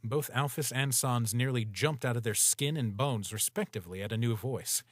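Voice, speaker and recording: Guy narrating a comic story, steady and even, mid voice, dry close sound. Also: mid-range voice, moderate pace, no echo.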